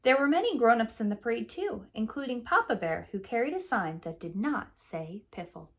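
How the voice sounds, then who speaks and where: A woman teacher reading a picture book aloud to young children in an expressive, clearly phrased storytelling manner. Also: on the high side, normal speed.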